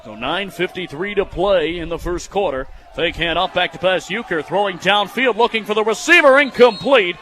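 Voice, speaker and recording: A young American man in his twenties doing live football radio play-by-play, excitable and quick to raise his voice on plays. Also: high-pitched. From United States, Michigan. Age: 20s.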